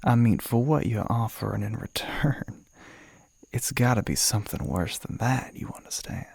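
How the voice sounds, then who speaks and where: A man playing a suave, flirty crossroads demon in an ASMR roleplay, with a courtly, teasing Southern US drawl that often drops to a soft breathy murmur. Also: medium pitch, normal speed, no room sound. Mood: amused, businesslike.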